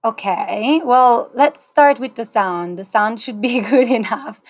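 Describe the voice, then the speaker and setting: A woman's voice: fairly high pitch, expressive, unhurried, no echo, clean studio sound. A woman in her thirties hosting an online series on a video call, upbeat and chatty with small restarts. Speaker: host. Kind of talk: livestream webinar hosting. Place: small indoor room, plain wall.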